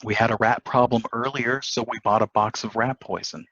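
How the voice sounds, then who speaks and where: A man's voice: medium pitch, fairly even intonation, a little fast, a bit bright, breathy edge, no echo. A man playing a coldly calculating newlywed husband in a table reading of a stage play, in dull audio. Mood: persuasive, sly.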